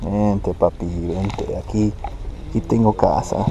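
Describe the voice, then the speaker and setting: A man's voice: lowish voice, fairly even intonation, measured pace, creaky, warm tone, dull audio, slight background noise. A man filming himself from a boat on a lake, teasing his friend and switching between English and Spanish as he reels in fish. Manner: joking, teasing, talks to the fish. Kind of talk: casual fishing banter mixing English and Spanish. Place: open boat on a lake, outdoors. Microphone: head-mounted action camera.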